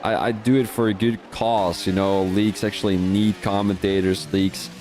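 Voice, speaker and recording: A man's voice: fairly low pitch, level tone, normal speed, darkish tone, slight background noise. A young Dutch man with a Dutch accent, commentating a sim racing league in a chatty, rambling way with many restarts. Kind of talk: live race commentary mixed with casual chat.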